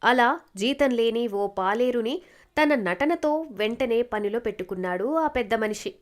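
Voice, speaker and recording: A woman's voice: a little high, lively intonation, measured pace. A woman narrator reading a scripted moral story.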